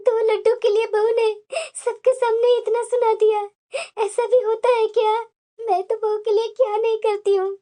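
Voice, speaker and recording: A woman's voice: extremely high pitch, level tone, fast talker, few pauses, mellow. A woman playing a meek, long-suffering elderly mother-in-law in a family drama, plaintive and self-pitying. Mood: tearful, humiliated. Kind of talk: Hindi family drama story dialogue.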